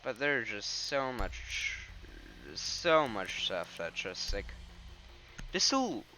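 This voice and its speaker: A man's voice: medium pitch, big pitch swings, very slow, pushed and bright, slight background noise, low-quality recording. A young man giving casual, rambling commentary over gameplay, sounding a bit congested. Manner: rambling, filler-heavy, pointing at footage. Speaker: gaming commentator. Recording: game sounds and gunfire behind.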